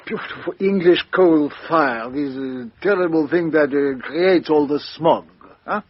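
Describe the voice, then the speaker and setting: Radio drama sleuth: courteous, husky, slightly high voice, swooping pitch, halting repetitions. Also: male voice, lively intonation, no echo, heavily acted. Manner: hesitant, repeating words, courteous and probing. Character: polite, inquisitive foreign male private detective. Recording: dull, lo-fi radio drama recording.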